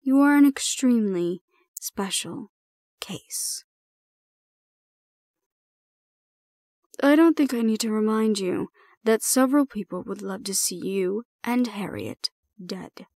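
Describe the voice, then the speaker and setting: A woman playing a composed, stern female supervisor in an audio roleplay, speaking in a measured, formal and deliberate way. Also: medium pitch, darkish tone, dry recording, clean studio sound, lightly acted. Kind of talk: scripted audio roleplay acting.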